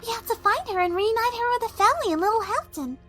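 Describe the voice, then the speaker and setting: A woman voicing a timid, soft-spoken, kind young female pegasus pony in a cartoon audio drama, hesitant and apologetic. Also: very high-pitched, expressive, fast, faint music behind. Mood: shy, kind. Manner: hesitant, apologetic, gently stammering.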